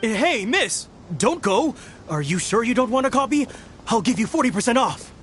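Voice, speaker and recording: A man's voice: very high voice, sing-song, normal speed, a bit bright, a little hiss or hum, heavily acted. A man playing a proud, melodramatic novelist in a video game, speaking in a pompous, theatrical manner. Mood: pleading. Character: proud, melodramatic young male novelist selling his books. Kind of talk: video game character acting.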